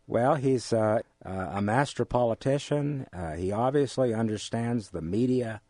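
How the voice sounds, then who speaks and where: An American man being interviewed, speaking in a halting, measured way with formal, academic wording. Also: medium pitch, darkish tone, no room sound. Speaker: interview guest, amateur radio drama actor. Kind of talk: relaxed interview conversation.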